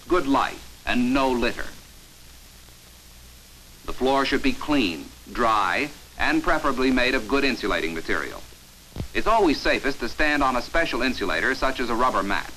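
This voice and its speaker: An American man delivering scripted instructional narration for a 1950s training film in an authoritative, measured, emphatic announcer manner, on an old film soundtrack. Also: medium pitch, pushed and bright, a little hiss or hum, poor audio.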